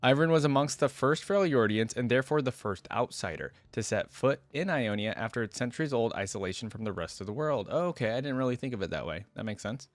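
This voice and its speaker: A man's voice: mid-range voice, lively intonation, quick pace, few pauses, no room sound. A man hosting a reaction video, commenting casually and musing aloud in an informal, conversational way. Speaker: host of a reaction channel.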